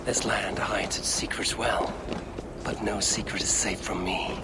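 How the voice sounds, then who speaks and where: An actor playing a gruff, brooding Norse warrior in a video game, speaking gravely in a breathy, gravelly murmur. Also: male voice, fairly low pitch, flat delivery, unhurried, whispered. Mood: brooding, hushed. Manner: grave, archaic phrasing. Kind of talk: video game character musing aloud.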